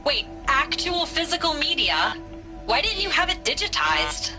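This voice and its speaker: An actress playing a dry, weary tech worker of about thirty in a video game, casual and wry. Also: female voice, sits high, big pitch swings, unhurried, rough recording. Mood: incredulous, glum. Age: 30s. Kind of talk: video game dramatic acting. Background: music.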